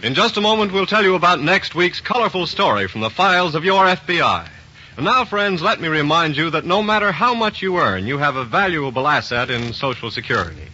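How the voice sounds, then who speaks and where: An American man working as a radio announcer, delivering a polished, emphatic 1940s sponsor pitch. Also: big pitch swings, brisk, sharp tone. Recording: dull, band-limited 1940s radio broadcast. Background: music.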